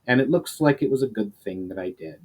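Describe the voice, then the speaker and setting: A man voicing a shrewd male elf in a storybook reading, measured, formal and self-assured. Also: fairly low pitch, lively intonation. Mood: calm, explaining.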